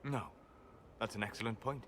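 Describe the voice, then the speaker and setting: A man playing a measured, scholarly elven mage. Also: medium pitch, a bit bright, a little hiss or hum, natural voice. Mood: probing, curious. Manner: measured, deliberate, formal phrasing with weighty pauses. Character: reserved, scholarly elven mage, a wistful, philosophical apostate man. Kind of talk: dramatic video game cutscene dialogue.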